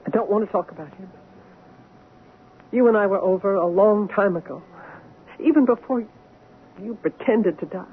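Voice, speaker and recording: A woman's voice: mid-range voice, moderate pace, long pauses, husky, lightly acted. An actress playing an anguished but firm woman doctor confronting her returned husband, earnest and emotional, in an old-time radio drama. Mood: defiant. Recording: narrow, noisy old radio recording.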